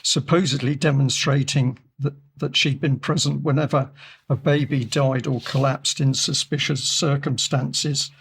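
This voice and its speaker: A man with a croaky voice giving measured news commentary in a studio, slowing down on key points and using many fillers. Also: mid-range voice, steady tone, poor audio. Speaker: regular commentator. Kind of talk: discussion commentary reading from articles.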